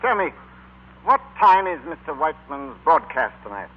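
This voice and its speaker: A man playing a gruff but kindly middle-aged American father, brisk and commanding, in 1940s radio drama style. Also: on the high side, sing-song. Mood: gruffly kind. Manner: brisk, commanding, clipped questions. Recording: tinny, narrow 1940s radio recording.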